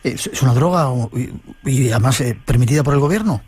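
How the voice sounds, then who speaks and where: Mid-pitched male radio host. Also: fast, a little mellow, husky. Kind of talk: radio interviewing and presenting.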